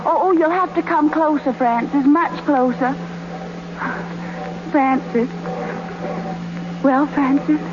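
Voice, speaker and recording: Sweet, coy young sweetheart, very high and gently hesitant, noisy 1930s radio romance. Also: female voice, slow, long pauses, dull audio. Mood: coy, hopeful. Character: sweet, coy young woman coaxing her shy sweetheart.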